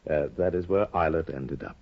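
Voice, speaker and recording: An actor playing a sly, scheming older judge in a 1950 radio drama, insinuating and hesitant, on a dull old broadcast recording. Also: male voice, rumbling low. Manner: insinuating, hesitant with drawn-out ums. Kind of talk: 1950s radio drama acting.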